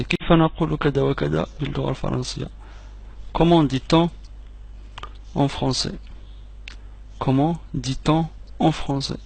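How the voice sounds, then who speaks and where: A man whose first language is Arabic leads a language lesson as a patient drill. He says each phrase slowly and repeats it, then rattles off the translation. His middling voice has a gravelly fry. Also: mid-range voice, no echo. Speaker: French teacher.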